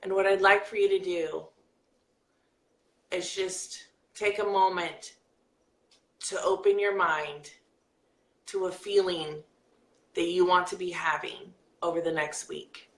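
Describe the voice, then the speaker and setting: A woman hosting a live coaching video, reading viewer comments and leading a calm guided breathing exercise. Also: lowish voice, echoey room. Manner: lively, reads viewer comments aloud, turns soft and slow for the breathing exercise.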